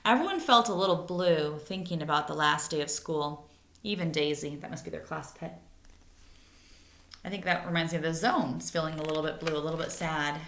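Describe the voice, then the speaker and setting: A woman's voice: low-pitched, a little fast, a little hiss or hum. Woman reading a picture book, echoey room. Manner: expressive, addressing young children. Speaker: teacher reading aloud to pupils. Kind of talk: read-aloud of a children's picture book with asides. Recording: echoey, roomy sound.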